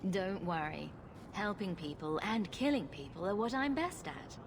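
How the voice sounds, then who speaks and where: A woman's voice: medium pitch, big pitch swings, moderate pace, background noise. An actress playing a confident, sarcastic female rogue adventurer in a video game, with a flippant manner. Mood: confident, wry. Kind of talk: video game dialogue acting.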